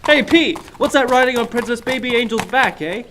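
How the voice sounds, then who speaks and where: A man's voice: very high-pitched, brisk, background noise. A man playing a good-natured, hapless sidekick who ends lines with "eh" in a comic radio play. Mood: delighted. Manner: hapless, says "eh" at sentence ends. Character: dim, earnest, good-natured Canadian fugitive sidekick.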